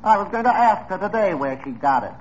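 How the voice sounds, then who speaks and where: An actor playing an evasive suspect in an old radio drama, giving short answers. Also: male voice, high-pitched, very animated, quick pace, a little hiss or hum. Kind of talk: 1950s radio drama acting. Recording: muffled old radio broadcast.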